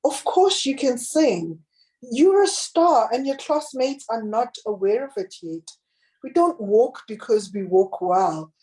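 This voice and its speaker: A woman with a husky voice voicing a loving, wise grandmother who comforts her granddaughter. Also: on the high side, animated, takes it slowly, voice pushed up, poor audio. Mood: tender, reassuring. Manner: gentle, reassuring storybook voice.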